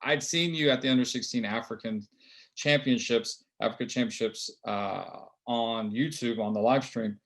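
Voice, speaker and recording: A man who scouts basketball players, hosting an interview in a halting way with many fillers and repeated words. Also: mid-range voice. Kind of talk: interview host.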